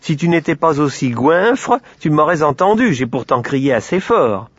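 Man doing a brisk bossy kid god in a storybook, lively, a bit husky. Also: on the high side, no echo, highs cut off, pitched above the performer's own voice. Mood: impatient. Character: young boy God, childish and bossy.

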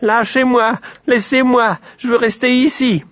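A man's voice: high-pitched, big pitch swings, brisk, fairly bright tone, no room sound, muffled recording, voice pushed up, full character voice. A man voicing a defiant, whiny little pig in a storybook tale, protesting petulantly. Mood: frantic protesting.

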